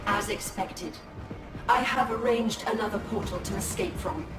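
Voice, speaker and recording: A woman's voice: mid-range voice, normal speed, natural voice. A woman playing a proud, solemn alien seer and commander in a video game, speaking formally. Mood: grave, resolute. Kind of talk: dramatic video game acting. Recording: long echo, distant, music behind.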